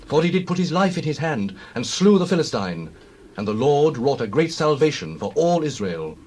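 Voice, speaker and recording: A man's voice: a little high, big pitch swings, normal speed, a little mellow. An actor playing a loyal prince in a dramatized Bible reading, pleading earnestly for his friend. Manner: earnest, pleading, archaic delivery.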